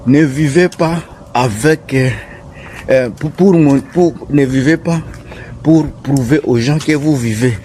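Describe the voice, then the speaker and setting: A man's voice: mid-range voice, takes it slowly, mellow, slight background noise. A man speaking French with an African accent, not his first language, giving earnest, repetitive advice to his viewers while vlogging outdoors on a residential street. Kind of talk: casual vlog talking to viewers.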